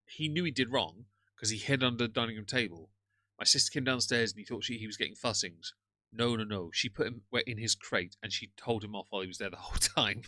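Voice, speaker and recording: British streamer guy, snarky ranting, bright crisp voice, dry close sound. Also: lowish voice, quick pace, no echo. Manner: sarcastic, ranting, greets chat viewers. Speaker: livestream host reacting to another streamer. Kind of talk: casual live-stream commentary.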